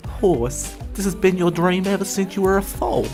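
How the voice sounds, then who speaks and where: A woman voicing a supportive, food-loving sheep in an audio story, expressive and easygoing. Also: mid-range voice, moderate pace, soft background music, close to an everyday voice. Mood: encouraging. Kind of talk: children's story character acting.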